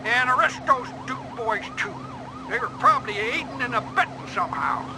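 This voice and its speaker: Comic game lawman: excitable, officious Southern sheriff, squealing high delivery over loud siren noise. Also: fairly quick, heavily acted. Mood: officious, excited. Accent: Southern American. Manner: bossy, overexcited.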